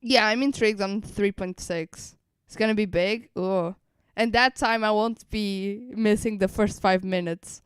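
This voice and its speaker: Woman, streamer, slow pace, crisp smooth voice, casual reaction chat, rambling and thinking aloud. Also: mid-range voice, steady tone, no room sound. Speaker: streamer reacting to a game livestream. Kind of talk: casual live reaction commentary.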